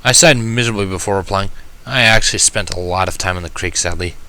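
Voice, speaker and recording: A man's voice: sits low, fast talker, sharp tone. A man reading scripted first-person fan fiction as the narrator.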